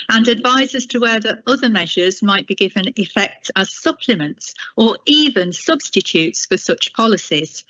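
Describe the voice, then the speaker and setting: A woman delivering a formal prepared address at a council meeting in a deliberate, critical and emphatic manner. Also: mid-range voice, a bit bright, no room sound, dull audio.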